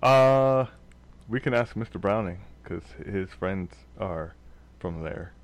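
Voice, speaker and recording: A man's voice: lowish voice, expressive, a little hiss or hum. A man hosting a casual comedy podcast, rambling and laughing as he talks. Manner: rambling, laughing, slow then quick. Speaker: podcast host.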